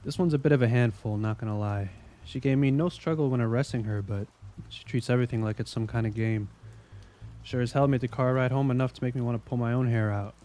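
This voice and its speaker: Man acting a mellow, sardonic veteran detective. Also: medium pitch, fairly even intonation, fast talker, faint music behind, close to an everyday voice. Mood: musing, wry. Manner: wry, needling, casual. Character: jaded, sardonic veteran male police investigator. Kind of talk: audio drama acting.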